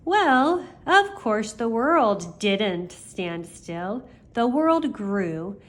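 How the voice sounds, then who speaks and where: A woman's voice: mid-range voice, big pitch swings, very slow, a bit bright. An older woman, a grandmother reading children's stories aloud expressively, with chatty asides to her young listeners.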